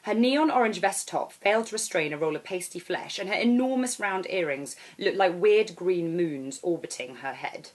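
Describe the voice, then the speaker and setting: A woman reading aloud as an author, in expressive storybook narration. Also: lowish voice, moderate pace, hardly any pauses. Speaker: author reading own novel.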